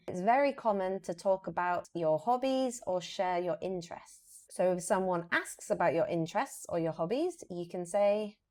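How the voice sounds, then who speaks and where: A woman with a British accent presenting an English lesson as a teacher, explaining deliberately and modelling example phrases with emphasis. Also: medium pitch, a little mellow.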